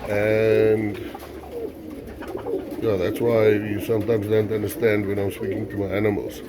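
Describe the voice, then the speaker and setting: A man's voice: fairly low pitch, completely flat delivery, a little slow. A man with a South African accent, a native Afrikaans speaker, explains things off the cuff in a casual vlog while tending birds. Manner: unscripted, explanatory. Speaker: pigeon keeper presenting his own video. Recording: noisy, birds cooing nearby. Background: pigeons cooing, clear background noise.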